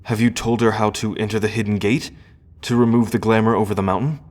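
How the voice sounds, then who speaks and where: A man playing an earnest, loyal prince in a fantasy audio roleplay, speaking in a formal, measured and hesitant way. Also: lowish voice, fairly even intonation, darkish tone, close to an everyday voice. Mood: resolute, careful. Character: earnest, grieving prince, loyal sibling of the dragon heir.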